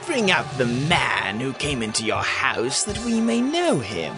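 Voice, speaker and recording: Nasty mob guy, menacing, huge pitch swings, music behind, bible drama. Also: wildly swooping pitch, a little slow. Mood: threatening. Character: menacing, worthless townsman leading a mob. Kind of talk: dramatized audio Bible acting. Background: background music.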